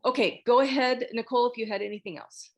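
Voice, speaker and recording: A woman's voice: a little high, steady tone, quick pace. A woman hosting a casual group discussion over a video call, speaking conversationally. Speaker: host of an online table read meet-up.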